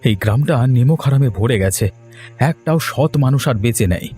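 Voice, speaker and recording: Male actor as earnest wronged son-in-law, cartoon drama; dark mellow voice, faint music. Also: mid-range voice, expressive, moderate pace, slightly rough. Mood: bitter, glum. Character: honest, aggrieved young village son-in-law. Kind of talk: dramatic cartoon story dialogue.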